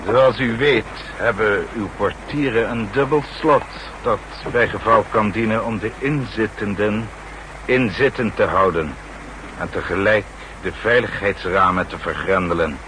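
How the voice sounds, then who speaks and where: A very measured, low-voiced actor's henchman of a secret service. He is icily courteous and formal, with menace under the politeness. It is 1960s radio drama on a noisy old recording. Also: natural voice. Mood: politely menacing.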